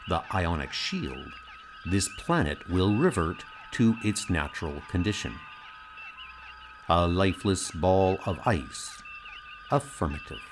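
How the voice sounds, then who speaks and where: A man voicing a calm, logical alien science officer who speaks in a formal, precise, detached way. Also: low-pitched, noisy background.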